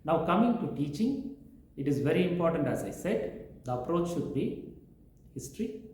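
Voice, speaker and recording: Male professor, lecture-style, mellow tone, very reverberant room. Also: a little high, sing-song, moderate pace. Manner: lecturing, listing points one by one. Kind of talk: explaining teaching methods in an interview. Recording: very echoey room.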